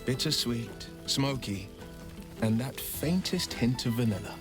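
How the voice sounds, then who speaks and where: A man's voice: medium pitch, lively intonation, unhurried, over music, natural voice. An actor playing a tender, devoted male lover in a fantasy video game, speaking in an intimate, romantic way. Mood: fond, wistful. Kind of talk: video game cutscene acting.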